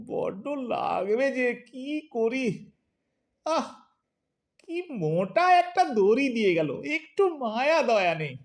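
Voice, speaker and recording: A woman's voice: fairly high pitch, darkish tone. A woman playing a lovesick, grumbling husband in his eighties in an audio drama, whining and melodramatic.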